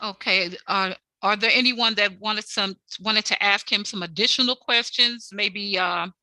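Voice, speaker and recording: A woman's voice: medium pitch, steady tone, measured pace, bright, forceful tone, dry recording, poor audio. A woman chairing a board meeting, moving business along with some hesitation and fillers.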